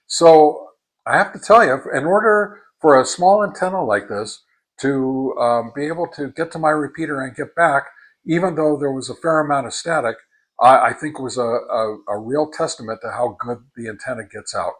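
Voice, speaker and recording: A man giving a casual spoken product review in a halting, deliberate way, repeating words for emphasis as a hobbyist reviewer. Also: medium pitch, poor audio.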